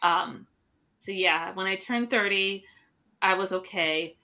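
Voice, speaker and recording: A woman in her late thirties, co-hosting a casual chat podcast over a video call, candid and quick to laugh. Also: medium pitch, bright, forceful tone. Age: late 30s. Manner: candid, laughing, swears freely. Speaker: podcast co-host. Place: home room at night. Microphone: video call webcam. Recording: dull lo-fi webcam audio.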